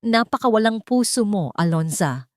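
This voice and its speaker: A woman's voice: mid-range voice, expressive, normal speed, no room sound, studio quality, voice pushed up, close to an everyday voice. A woman voicing an enraged, proud husband hurling accusations at his wife in an audio drama. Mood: angry, accusing. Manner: shouting accusations.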